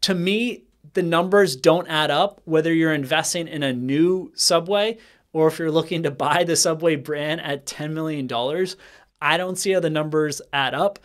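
A man in his thirties gives a skeptical business commentary straight to camera, talking with his hands, in a small bright room with a desk microphone. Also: a little high, moderate pace. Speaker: franchise consultant and channel host. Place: small bright indoor room with bookshelf and plant. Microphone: desk microphone in front of him.

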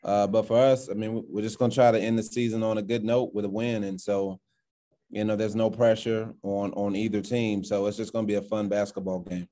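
A man in his thirties, a basketball coach, answering post-game press questions over a video call in a measured, halting way full of fillers and restarts. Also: fairly low pitch, level tone, dark tone. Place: indoors in front of a sponsor backdrop. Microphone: video call, framed at desk distance.

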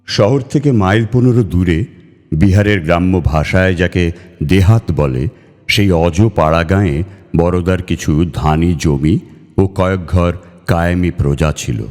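A man reading a literary story aloud in a measured, theatrical way, as a storyteller. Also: low voice, a little mellow. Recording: long reverb over music. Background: music.

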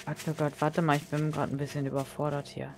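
A woman chatting casually as a co-op gaming partner, excitable and teasing, with quick exclamations. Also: deep voice, faint music behind. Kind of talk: casual co-op gameplay chat.

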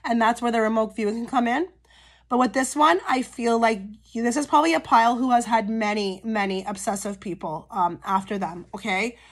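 A woman giving a casual pick-a-card tarot reading straight to her viewers, her voice a little hoarse and cracky from a cold, often checking in with "okay?". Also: fairly high pitch, brisk. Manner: chatty, frequent "okay?" check-ins with viewers. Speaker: tarot reader.

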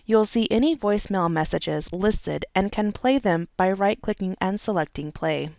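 A woman narrates a software tutorial from a script. Her delivery is measured and methodical, going step by step. Her mid-pitched voice has a clear fry to it, recorded very dry with no room sound. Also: dry recording.

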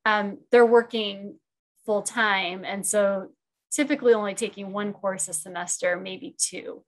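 A woman in her forties, a program chair, answering questions on a video call through wired earbuds. She pauses often and rethinks her answers as she goes. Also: medium pitch, poor audio. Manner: hesitant, many fillers and restarts, thinks aloud. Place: room at home.

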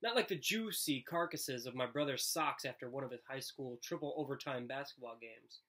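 Male storybook reader, thirties, bright forward tone, expressive narration. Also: medium pitch, moderate pace. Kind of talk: expressive read-aloud narration. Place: living room, indoors.

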